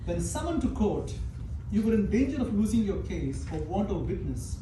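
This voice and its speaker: A performer plays an imperious philosopher scolding his pupil in a declamatory, animated voice. It is an amateur staged comedy reading, picked up from a distance in an echoey, noisy room. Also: medium pitch, moderate pace, natural voice. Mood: instructing. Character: imperious, exasperated philosopher teacher, male. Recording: echoey room, distant mic, clear noise.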